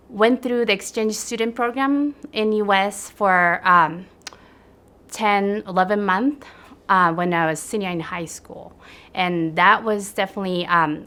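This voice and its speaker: A woman from Japan speaking in an interview, reflective and pausing often with "um.". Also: lowish voice, fairly bright tone. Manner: reflective, with hesitant fillers. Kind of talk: documentary interview.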